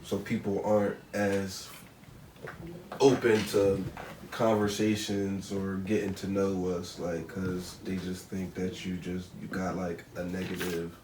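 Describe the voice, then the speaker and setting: A man's voice: deep voice, monotone, a little slow. A man co-hosting a podcast in casual chat, blunt and matter-of-fact. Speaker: podcast co-host. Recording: echoey room, mic far off, background noise.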